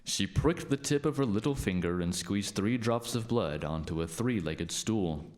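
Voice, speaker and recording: A man narrating a scripted radio play tale as an expressive, dramatic storyteller. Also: on the low side, moderate pace.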